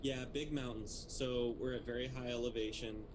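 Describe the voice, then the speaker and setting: A man from Texas, hosting a relaxed interview in a friendly, easygoing way. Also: mid-range voice, moderate pace. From Texas, USA. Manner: friendly, easygoing, laughs often. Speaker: podcast host. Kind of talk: casual interview chat. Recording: loud ambient and vehicle noise.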